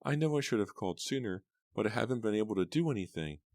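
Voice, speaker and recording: A man's voice: mid-range voice, animated, fairly quick, no room sound, pitched above the performer's own voice, lightly acted. A man voicing a grieving middle-aged mother on a speakerphone, trembling, stammering and sobbing. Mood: distraught, tearful.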